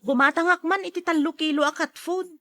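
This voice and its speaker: A woman's voice: very high voice, steady tone, fairly quick, pushed and bright, dry recording. A woman with an Ilocano accent playing a chatty neighbour out shopping in a family drama, conversational and questioning. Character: chatty female neighbour shopping at a small store. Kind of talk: radio-style family drama acting.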